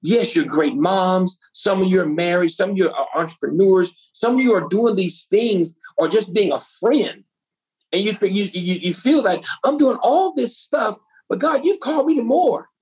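A woman's voice: medium pitch, animated, moderate pace, darkish tone, slightly rough, rough recording. A woman pastor preaching a sermon to a women's group, asking the audience rhetorical questions.

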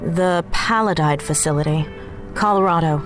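Woman playing a brisk, no-nonsense strategist. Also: deep voice, faint music behind, close to an everyday voice. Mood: assured. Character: determined, no-nonsense team strategist on the run. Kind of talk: audio drama dialogue.

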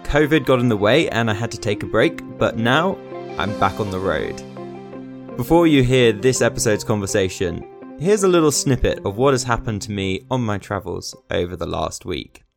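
A man's voice: fairly low pitch, animated, normal speed, gravelly, over music. A man hosting a podcast, reading his introduction and then asking a guest questions in an earnest, enthusiastic way. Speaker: podcast host.

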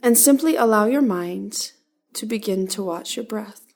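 A woman leading a guided body-scan meditation, giving calm, gentle instructions in short, spaced phrases. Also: mid-range voice, measured pace, creaky.

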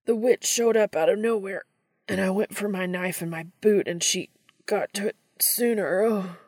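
Casting: woman as embarrassed, distressed young man, whiny and breathless, creaky, for narrated fan fiction. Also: medium pitch, steady tone, moderate pace, a little mellow, no room sound, clean studio sound, close to an everyday voice. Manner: breathy, strained, protesting.

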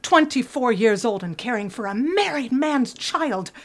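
A prim middle-aged mother in an audio drama, high-voiced and very animated. She is fretful, scolding and martyred, easily scandalized. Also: measured pace.

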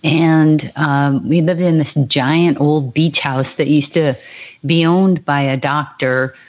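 A woman's voice: low voice, steady tone, slow pace, lo-fi sound. A woman from Hawaii, a podcast guest telling stories about her childhood and laughing easily. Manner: warm storytelling, laughs easily. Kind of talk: podcast interview.

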